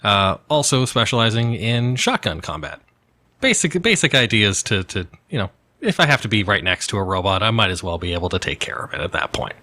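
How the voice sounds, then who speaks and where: A man's voice: on the low side, big pitch swings, brisk, sharp tone. A man playing a tabletop game, talking casually with a dryly joking, conversational manner.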